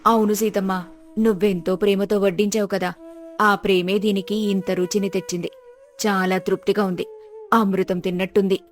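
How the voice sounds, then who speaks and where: A woman's voice: mid-range voice, level tone, normal speed, lightly acted. A woman playing a compassionate village wife in a dubbed moral-story cartoon, with earnest, emotional delivery. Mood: grateful, admiring. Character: compassionate, heartfelt village wife, visiting guest. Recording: music behind the voice.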